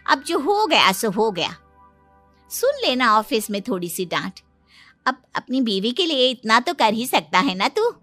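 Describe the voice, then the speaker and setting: A woman playing a middle-aged mother-in-law in a dramatized moral story, emphatic and maternal, protective but quick to scold. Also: a little high, sing-song, pushed and bright, faint music behind, full character voice. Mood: indignant.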